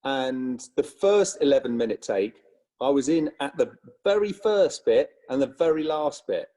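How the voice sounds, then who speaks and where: A man telling a casual anecdote on a video call with animated emphasis. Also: medium pitch, measured pace, poor audio. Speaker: cast member on a reunion panel.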